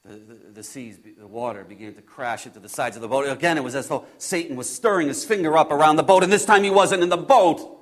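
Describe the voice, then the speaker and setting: A man performs an impulsive disciple in a dramatic first-person Bible monologue. His voice sits high for a man. He stumbles and repeats phrases for emphasis, moving between hushed storytelling and loud, emotional outbursts. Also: close to an everyday voice. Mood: hushed, tense. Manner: halting, repeating phrases, swinging from hushed to shouting.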